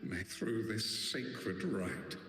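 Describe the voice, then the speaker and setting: A man voicing a grave, stately high priest in a video game, speaking in a ceremonial, liturgical manner. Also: expressive, drawn-out words, fairly bright tone, heavily acted. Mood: solemn, grand. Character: solemn high priest crowning a chosen maiden. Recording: echoey cavernous hall, music behind.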